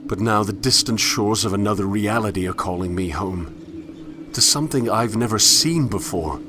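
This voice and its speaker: An actor playing a melancholy, introspective wanderer who narrates a game in a measured, reflective, literary manner. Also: male voice, lowish voice, background noise, close to an everyday voice. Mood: tender, resolved. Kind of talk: scripted poetic game narration.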